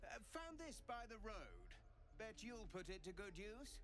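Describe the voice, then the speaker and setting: A man's voice: high-pitched, big pitch swings, unhurried. A man playing an eager, easily frustrated young refugee learning swordplay in a video game, earnest and effortful. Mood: earnest, determined. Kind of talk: video game character acting.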